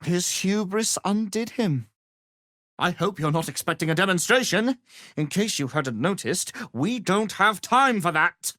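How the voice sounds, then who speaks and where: An actor playing an arrogant, power-hungry wizard in a video game, speaking in a pompous, theatrical and condescending manner. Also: male voice, sits high, expressive, measured pace, pushed and bright, dry recording, clean studio sound, heavily acted. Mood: smug lecturing.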